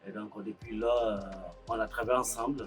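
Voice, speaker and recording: A man's voice: lowish voice, steady tone, brisk, faint music behind. Man, hesitant interview storytelling. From Côte d'Ivoire. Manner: hesitant, frequent filler sounds. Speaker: farm co-founder, accountant by training. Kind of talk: interview testimony.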